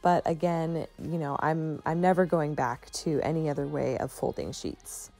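A stay-at-home mom giving a chatty, relaxed voice-over for her home vlog, often saying "like" and "you know". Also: low-pitched, moderate pace, soft background music. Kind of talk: casual voice-over narration.

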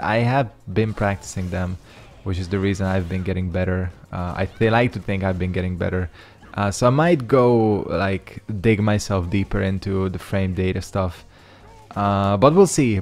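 Laid-back young man commentating his gameplay. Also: fairly low pitch. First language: Hungarian. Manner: rambling, loose slang, frequent fillers. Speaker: gaming channel host. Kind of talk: casual commentary over gameplay.

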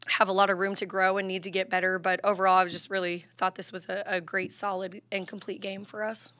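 A woman's voice: mid-range voice, fairly quick. A woman in her thirties, a head basketball coach, answering questions at a postgame press conference into a table microphone, with many fillers and restarts. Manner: steady, even delivery with many fillers and restarts.

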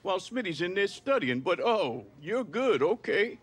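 A man playing a gruff, impatient middle-aged football coach in a video game drama. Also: sits high, sing-song, normal speed, slight background noise. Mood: scolding. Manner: gruff, scolding, commanding. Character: stern, impatient, hard-nosed male football coach. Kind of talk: scripted game drama acting.

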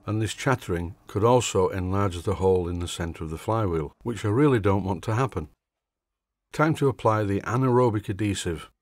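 Older Yorkshire man, low-pitched, measured narrator with dry humour. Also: no room sound. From Yorkshire, England. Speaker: hobby machinist presenter. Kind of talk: scripted workshop voice-over.

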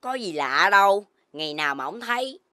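An actor voicing a chubby, greedy, playful piglet boy in a children's cartoon, boisterous and excitable. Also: medium pitch, brisk, no room sound, studio quality. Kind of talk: Vietnamese children's cartoon dubbing.

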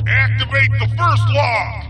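A man's voice: extremely high pitch, unhurried, music in the background, rough recording. A man playing a bossy villain henchman officer in a video game, giving commanding military announcements. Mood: bossy, alert. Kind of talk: video game cutscene acting.

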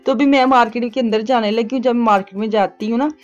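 A woman's voice: fairly high pitch, fairly even intonation, fast, highs cut off, faint music behind. A middle-aged woman, rambling homely voice-over for her shopping vlog. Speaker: vlogger. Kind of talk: chatty voice-over narration. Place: home, recorded as voice-over.

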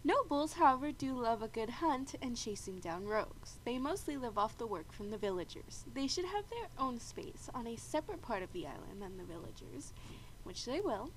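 A woman's voice: fairly high pitch, moderate pace, low-quality recording. A woman with a congested, stuffy voice from a cold, casually narrating gameplay and explaining rules as the host. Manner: frequent throat clearing, chatty and explanatory. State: congested after a bad cold. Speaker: video game host. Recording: steady background noise.

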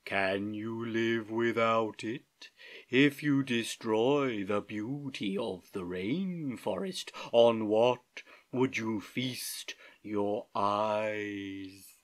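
Reader doing a lady sloth from a storybook, deep lazy drawl, super slow. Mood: dreamy. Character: female three-toed sloth, slow and lazy.

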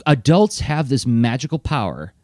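A man co-hosting a podcast in casual chat, animated and full of fillers. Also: medium pitch, normal speed, no echo, clean studio sound. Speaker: podcast co-host.

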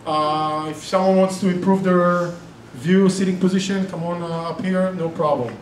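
Brief: Israeli man, middle-aged, casual seminar presenter, slightly high voice, recorded from afar in an echoey room. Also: fairly even intonation, normal speed. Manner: chatty, self-interrupting, joking asides. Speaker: real estate investing presenter and group organizer. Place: meeting room with projector. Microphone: far camera. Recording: roomy echo, distant camera, faint noise.

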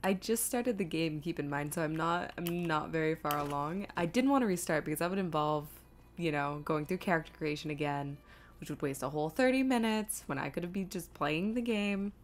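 A woman's voice: low voice, a little fast. A woman streaming a video game with casual commentary, thinking aloud in a self-deprecating, exasperated way.

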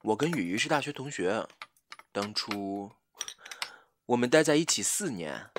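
Man as expressive, catty, jealous young man. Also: lowish voice, measured pace, background noise. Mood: sweetly wistful. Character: jealous, catty, insincerely sweet young ex-boyfriend. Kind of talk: Chinese audio drama acting.

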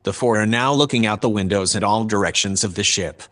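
A calm shipboard observer played by a man narrates a sci-fi audio drama. His voice sits on the low side. He describes everything in measured, matter-of-fact detail. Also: close to an everyday voice. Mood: calm, factual. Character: male narrating observer calmly reporting aboard a spaceship.

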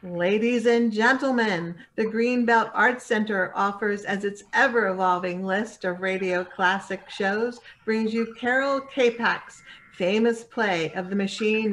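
A woman acting as the formal, measured announcer of an old-time radio drama. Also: medium pitch, a bit bright, low-quality recording.

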